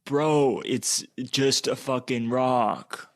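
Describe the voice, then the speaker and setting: A man playing a wheedling, trouble-prone teenage little brother in a radio play, halting and slangy. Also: mid-range voice. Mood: sulky, dismissive.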